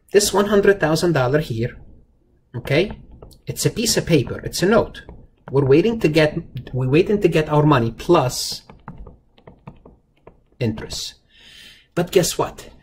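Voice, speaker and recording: Higher-voiced man lecturing, with background noise. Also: darkish tone. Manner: emphatic repetition, rhetorical questions, talks to the learner directly. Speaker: accounting lecturer. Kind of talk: explanatory lecture over slides, informal and conversational.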